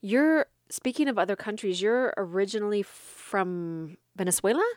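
A woman hosts a morning radio interview, her voice close and dry on a studio mic. She speaks thoughtfully and pauses to search for words. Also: mid-range voice, lively intonation, dry recording. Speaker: radio host.

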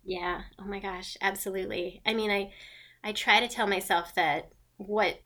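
A woman in her thirties or forties speaks in a bright, forceful voice. She talks earnestly and haltingly, leaning on fillers and restarts as a radio interview guest. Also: medium pitch, fairly even intonation. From born in Venezuela, raised in South America and the US. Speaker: children's book author.

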